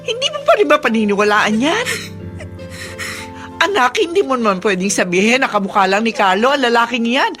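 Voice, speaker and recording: A woman's voice: fairly high pitch, big pitch swings, brisk, a bit bright, soft background music. An actress playing a protective middle-aged mother with an emphatic, motherly manner in a Filipino radio drama. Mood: indignant.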